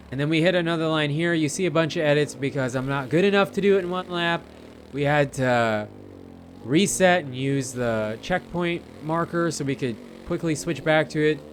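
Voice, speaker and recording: A man with a somewhat high voice talks viewers through gameplay in a loose, chatty way. His commentary rambles informally, as if talking straight to friends watching. A faint layer of noise sits behind him. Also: a bit bright. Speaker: gamer narrating gameplay.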